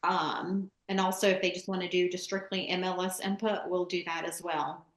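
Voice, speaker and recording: A woman from Charlotte in the US, chatting casually as an interview guest and explaining things in an informal, halting way. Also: fairly low pitch, little pitch movement. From born in Greece, grew up in Charlotte, USA. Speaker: podcast guest, real estate transaction coordinator. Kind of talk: casual chat. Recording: echoey room.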